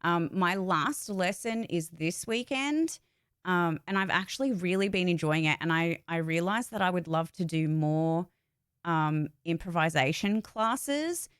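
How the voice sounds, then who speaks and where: A woman's voice: lowish voice, animated, unhurried, a bit bright, smooth, dry recording, clean studio sound. A woman chatting casually and informally on a livestream, rambling a little as she gives updates. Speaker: voice actor and streamer giving updates.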